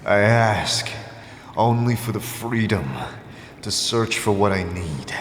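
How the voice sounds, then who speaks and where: A man's voice: lowish voice, slow pace, a little hiss or hum. A man playing a restrained, weary wanderer in a fantasy audio drama. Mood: restrained, resolute. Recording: roomy reverb, faint background ambience.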